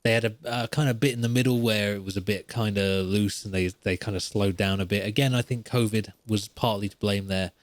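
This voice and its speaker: A man's voice: fairly low pitch, fairly quick, hardly any pauses, no room sound. Emphatic, rambling man hosting a podcast. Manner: rambling, animated and opinionated, with emphatic repetition. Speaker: podcast host. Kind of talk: casual solo gaming commentary.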